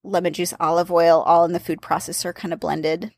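A woman's voice: on the low side, level tone, fairly quick, a little mellow, no room sound. Chatty woman, dry close sound. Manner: chatty, describes recipes step by step. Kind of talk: casual chat about cookbooks.

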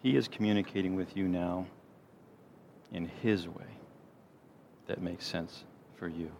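Low-voiced man leading a gentle guided meditation. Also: steady tone. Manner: gentle, unhurried, soothing delivery with pauses. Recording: clear background noise, low rumble.

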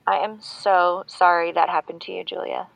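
Nervous female call-in fan, earnest and halting, creaky voice, close dry sound, for audio drama. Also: lowish voice, flat delivery, no echo. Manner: hesitant, earnest. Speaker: caller. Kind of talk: scripted fiction podcast acting. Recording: dry, dull call-in line.

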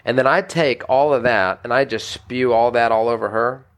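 Pastor, male, mid-pitched gravelly voice, earnest and chatty, trips over his words. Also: moderate pace. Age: adult, married. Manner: earnest, conversational, frequent restarts and "you know". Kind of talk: devotional podcast teaching.

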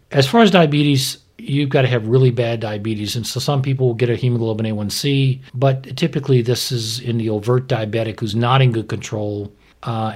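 A man's voice: medium pitch, darkish tone, husky. A man giving a conversational medical explanation as an expert, plain-spoken and didactic, often posing questions and answering them himself. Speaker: physician expert explaining to a co-host.

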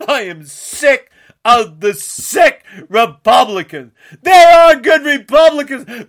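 A man from the United States, crying and shouting through an angry rant. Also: very high voice. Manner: shouting, tearful, ranting. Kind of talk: angry political rant to camera.

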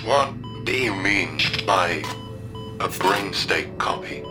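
A man's voice: low voice, expressive, takes it slowly, over loud music. A man voicing the bewildered mind of an old man now living inside a machine, asking questions. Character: confused old man's mind uploaded into a machine.

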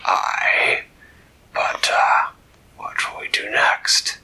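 A man voicing a gruff old male sea captain who talks in hearty sailor's dialect, full of "aye" and "lad". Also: very slow, bright, forceful tone, whispered, full character voice. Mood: gruff, wary.

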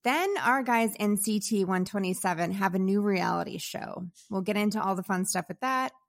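A woman's voice: medium pitch, normal speed, creaky. Upbeat woman podcast co-host. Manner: upbeat, runs through the show lineup. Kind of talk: casual podcast chat.

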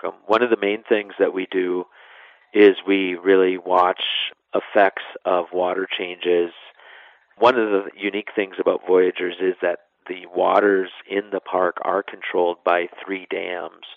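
A man's voice: deep voice, creaky, no echo, narrow, tinny audio. A man answering interview questions informally as an expert, explaining things and sometimes letting sentences trail off. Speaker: national park superintendent, interview guest.

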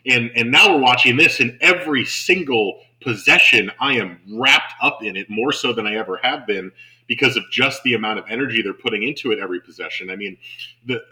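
A man's voice: medium pitch, brisk, bright, forceful tone, poor audio. A man hosting a sports show, chatting casually and enthusiastically as he analyses basketball. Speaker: sports podcast host and basketball broadcaster.

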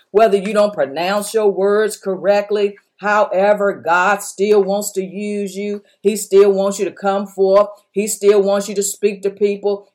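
A woman's voice: medium pitch, darkish tone. A woman pastor with a strong voice, preaching an encouraging sermon on a podcast and exhorting her listeners with repeated phrases.

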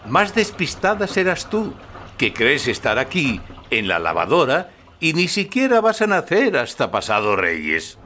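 A man's voice: on the high side, wildly swooping pitch, measured pace, bright, forceful tone, slight background noise, full character voice. An actor playing a courteous, patient Magi king explaining things to children in a radio play. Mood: gentle, teasing. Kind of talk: children's radio theatre acting.

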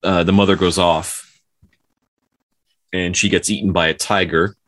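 A man with a low voice chats as a podcast co-host. He retells folktales informally, feeling his way through the details and often correcting himself. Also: little pitch movement, unhurried, a bit bright.

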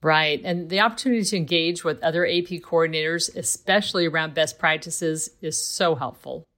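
A woman's voice: low-pitched, brisk, a bit bright. A woman reading a scripted promotional segment in a friendly, read-aloud manner. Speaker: online community moderator.

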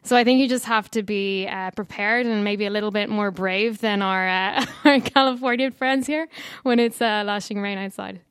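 An Irish woman from Dublin, in her thirties, talking as an interview guest. Her voice is smooth and clear and she rambles happily with little fillers. The sound is close and dry, with no room. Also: medium pitch, normal speed, no echo. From Ireland. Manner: chatty, warm storytelling with fillers. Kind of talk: casual interview chat.

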